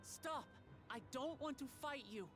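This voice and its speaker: A woman voicing an earnest, firm young claimant to a throne in a game, speaking in measured, declarative lines over music. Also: high voice, pitched above the performer's own voice. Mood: urgent. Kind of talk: voicing game cutscene dialogue.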